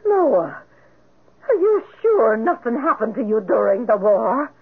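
A woman's voice: fairly high pitch, big pitch swings, unhurried, breathy edge, full character voice. An actress playing a chatty, fretting landlady of a boarding house in a radio drama. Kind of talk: 1940s radio acting. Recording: tinny noisy old radio recording.

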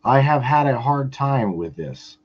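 Male host, stammers and repeats himself, mid pitch, muffled lo-fi audio. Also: big pitch swings, crowd noise. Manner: halting, stammering, with many repeated words. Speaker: podcast host. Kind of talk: casual podcast conversation.

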